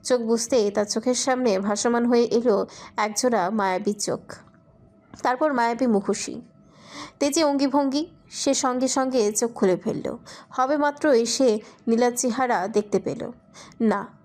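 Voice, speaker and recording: A woman's voice: on the high side, darkish tone. A woman reading scripted romantic fiction as a narrator.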